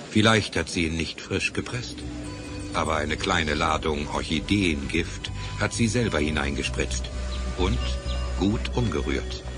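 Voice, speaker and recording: A man as the composed, slightly wry narrator of a scripted crime adventure radio play. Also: extremely low pitch.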